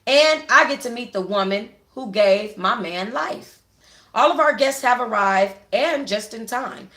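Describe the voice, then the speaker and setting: A woman book club reader narrating a first-person story aloud in an informal, expressive way. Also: on the high side, a little slow. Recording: echoey home room.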